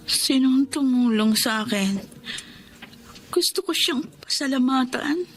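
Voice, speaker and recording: An actress in a Filipino radio drama playing a remorseful woman patient, speaking haltingly and emotionally. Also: high voice, slight background noise. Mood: weak, confused. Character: remorseful older sister-in-law recovering in hospital.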